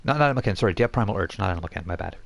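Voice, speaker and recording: A man narrating a tabletop role-playing game as game master, improvising and thinking aloud in a halting way. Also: deep voice, animated, creaky.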